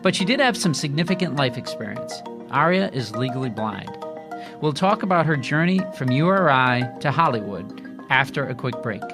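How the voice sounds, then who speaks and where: A man's voice: medium pitch, lively intonation. Rhode Island man hosting, music underneath. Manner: measured, scripted delivery. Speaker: podcast host. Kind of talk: news podcast interview. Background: background music.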